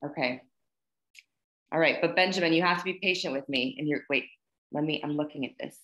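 Encouraging woman, low voice, echoey room. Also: brisk, fairly bright tone. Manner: encouraging, praising. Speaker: host of a student poetry reading. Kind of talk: casual video-call hosting and poem reading. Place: plain indoor room, on a video call. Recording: echoey room over a video call.

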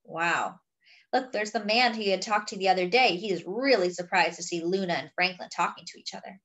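A woman's voice: mid-range voice, a little fast, fairly bright tone. A woman reading a picture book aloud expressively, with chatty, wondering asides to young listeners. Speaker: children's story reader.

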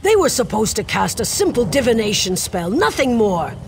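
Grave, worried guild mage. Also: female voice, on the high side, sing-song, normal speed, a little hiss or hum. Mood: troubled. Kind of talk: video game voice acting.

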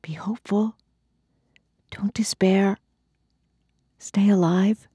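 A woman with a husky, breathy voice gives a dramatic spoken-word poetry recital, phrasing deliberately and theatrically and often dropping to a hush. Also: medium pitch, fairly even intonation, no room sound. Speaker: poetry reader.

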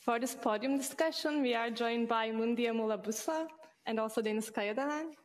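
A woman's voice: on the high side, brisk. Young woman moderating a panel. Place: studio stage with audience. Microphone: headset mic.

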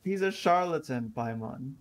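Very slow-talking, low-voiced woman gamer. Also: lively intonation. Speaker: game streamer. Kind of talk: casual gameplay commentary. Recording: faint game music behind.

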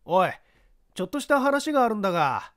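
A man voicing a gruff, brusque, blustering male film studio boss in an audiobook. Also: high-pitched, very animated, fast talker, a bit bright, pitched above the performer's own voice. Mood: brisk.

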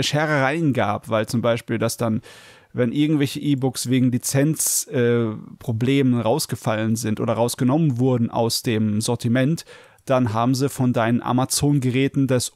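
A man's voice: mid-range voice, a little mellow, no room sound, clean studio sound. A man, chatting casually and thinking aloud as a podcast co-host. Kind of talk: casual chat.

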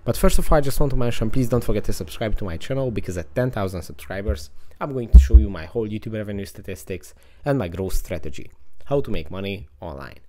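A man's voice: on the low side, lively intonation, fast, mellow. A man narrating a step-by-step how-to tutorial as its host. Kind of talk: how-to screen-recording narration.

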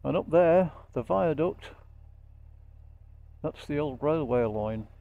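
A man with a Lancashire accent giving casual, unhurried storytelling commentary as he rides a motorbike, speaking into a helmet mic. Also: a little high, expressive, vocal fry, no room sound, phone-quality sound. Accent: Lancashire English. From Lancashire, England. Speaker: motorcycle vlogger. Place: outdoors on a moving motorbike. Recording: vehicle noise while riding.

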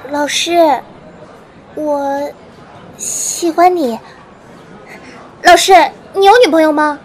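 A woman's voice: high-pitched, steady tone, slow. A woman playing a shy, sweet young female student with a crush, speaking hesitantly in an audio drama. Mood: shy, hopeful.

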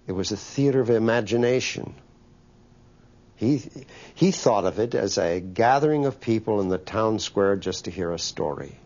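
An older man from a theatre company reminiscing in an interview in a measured way. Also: lowish voice, expressive, a little mellow. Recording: slightly muffled interview audio.

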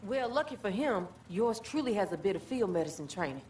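A woman's voice: medium pitch, big pitch swings, a little fast, a little mellow, slight background noise, close to an everyday voice. A woman playing a bold, cocky young rebel driver in a video game, brash and swaggering, with an American accent. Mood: cocky banter. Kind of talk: video game cutscene acting. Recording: distant game audio with music.

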